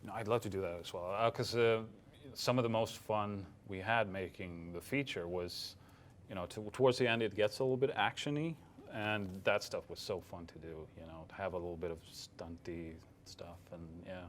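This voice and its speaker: Low-voiced man with a slight Swedish accent. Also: moderate pace, slight background noise. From Sweden. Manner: hesitant, many fillers and restarts. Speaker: film director. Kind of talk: casual interview answers.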